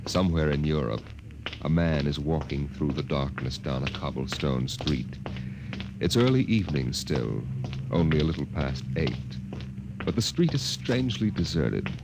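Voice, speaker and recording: A man narrating a 1950s radio drama in a slow, solemn manner. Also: very deep voice, vocal fry. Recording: old radio recording with loud hiss.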